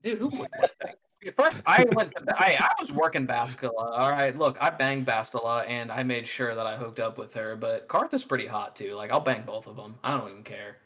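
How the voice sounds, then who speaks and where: A man in his late twenties chatting excitedly about video games, swearing freely and filling his talk with "like". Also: mid-range voice, a little fast, few pauses, slight background noise. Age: 20s. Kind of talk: casual gaming podcast chat.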